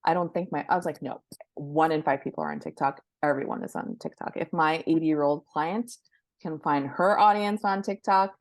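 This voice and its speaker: A woman's voice: low-pitched, normal speed, dry recording. A woman answering interview questions casually as a podcast guest, sharing advice and anecdotes and repeating words for emphasis. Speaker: podcast guest, book marketing professional.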